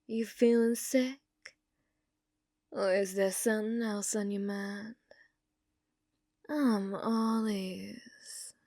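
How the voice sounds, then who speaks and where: Casting: actress as possessive yandere bounty hunter for ASMR roleplay; female, unhurried, sultry, dominant, slipping into whispers, close dry sound. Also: medium pitch, steady tone, mellow, dry recording. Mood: smug, teasing. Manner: slow, taunting, sultry, often dropping into whispers.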